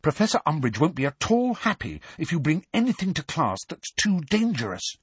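A man's voice: fairly high pitch, wildly swooping pitch, normal speed, husky, no room sound, pitched above the performer's own voice, heavily acted. A man reading aloud, voicing an earnest, anxious teenage schoolgirl who speaks urgently.

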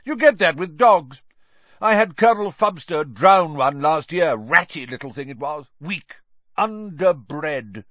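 A man doing a shrill, drunken, bullying aunt. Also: lively intonation, a little slow, dry recording, lo-fi sound. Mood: sneering. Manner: sneering, shrill and contemptuous. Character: loud drunk bullying middle-aged woman.

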